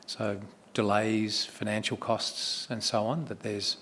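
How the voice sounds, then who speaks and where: A man's voice: fairly low pitch, level tone, slow, fairly bright tone, a little hiss or hum. A man chairing a council meeting, asking brief, businesslike questions.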